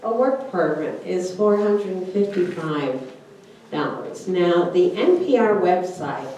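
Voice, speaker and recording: A woman's voice: fairly low pitch, expressive, takes it slowly, mellow, lo-fi sound. An older woman born in Germany, telling her family's immigration story at a church forum in a conversational way, with many "you know"s and "uh"s. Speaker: guest speaker at church forum. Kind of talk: personal storytelling talk. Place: church hall. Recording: roomy hall, distant mic, background noise.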